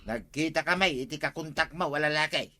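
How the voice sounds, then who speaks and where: A man's voice: on the high side, brisk, bright, forceful tone. An actor playing a meddling village man in a radio comedy drama, emphatic, with an Ilocano accent. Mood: persuading.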